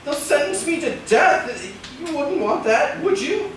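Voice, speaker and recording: A desperate, stammering husband, echoey recording. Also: male voice, extremely high pitch, very animated, a little slow, full character voice. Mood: defensive, pleading. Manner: stammering, agitated, melodramatic. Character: desperate guilt-ridden husband turned killer, pleading and bullying. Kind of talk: old-time radio drama acting. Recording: echoey distant old radio recording, hissy.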